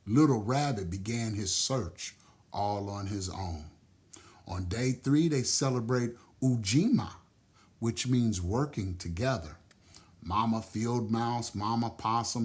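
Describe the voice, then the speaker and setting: Slow, deliberate male storyteller. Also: on the low side. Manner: deliberate, expressive. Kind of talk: storybook narration and explanation.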